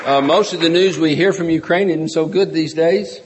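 A man's voice: fairly high pitch, normal speed, big-room sound, a crowd in the background, noisy background. A man hosting a meeting, introducing a guest speaker in a chatty, joking way. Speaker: meeting host.

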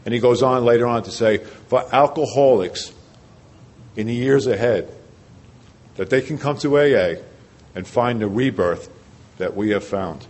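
An older man speaks to a packed convention hall, sharing his own recovery story. His voice sits at an ordinary middle pitch. He stays conversational and pokes fun at himself, then sinks his voice low and quiet when a point matters. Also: normal speed, long pauses. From New Jersey. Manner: conversational and self-deprecating, drops his voice low and quiet for emphasis. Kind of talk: personal testimony talk.

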